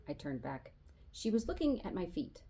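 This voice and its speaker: A woman reading a children's novel aloud as an expressive storyteller, narrating in the voice of a boy and acting out the dialogue. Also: fairly low pitch, moderate pace, creaky, husky. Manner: animated, acts out dialogue.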